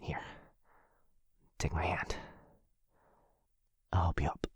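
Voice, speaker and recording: A man playing a gentle but brave immortal lover in a fantasy audio roleplay, tender and hushed with his beloved, impassioned when defiant. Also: low-pitched, level tone, takes it slowly, husky, dry recording, in a whisper, clean studio sound, exaggerated voice. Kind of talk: boyfriend ASMR fantasy roleplay acting. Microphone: close, dry studio-style mic.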